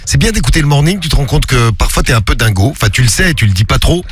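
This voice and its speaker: A man hosts a morning radio show, talking at a quick clip with loose, joking banter. He rambles and restarts sentences often as he chats with his co-hosts. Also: medium pitch, big pitch swings, slightly rough. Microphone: studio microphone.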